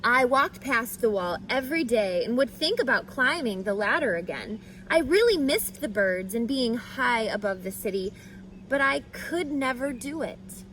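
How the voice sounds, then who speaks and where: Gentle, anxious egg narrator for a picture book female reader, fairly high voice, very expressive, slight background noise. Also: poor audio. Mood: fearful, wistful. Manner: expressive, deliberate storyteller delivery. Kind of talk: picture book read-aloud.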